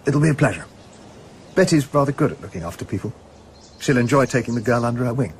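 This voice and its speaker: An actor playing a suave, obliging colonial secretary in a radio drama, with an English accent and a polite, dry, measured manner. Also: male voice, medium pitch, animated, a little hiss or hum, close to an everyday voice.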